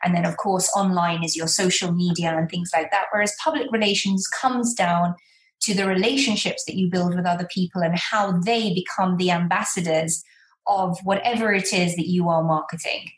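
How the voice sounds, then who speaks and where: A woman's voice: lowish voice, steady tone, moderate pace. A woman with a British accent, speaking as an expert guest and explaining things conversationally in an interview. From Malta, born in southern England. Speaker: guest public relations expert. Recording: echoey room sound.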